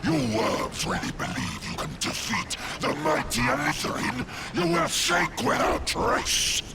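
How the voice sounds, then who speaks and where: An actor voicing a menacing, boastful sea monster in a video game, growling and grandiose like a theatrical villain. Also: male voice, very high voice, wildly swooping pitch, unhurried, very noisy, full character voice. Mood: boastful rage. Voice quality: gravelly, growling.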